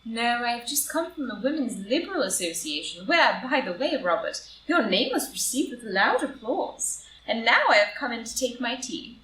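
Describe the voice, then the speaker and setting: A woman playing a poised, high-minded society wife, gracious and earnest, in a stage comedy reading. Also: very animated, normal speed, few pauses, a bit bright. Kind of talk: live read-through of a stage comedy as audio drama. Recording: echoey room, distant mic, faint noise.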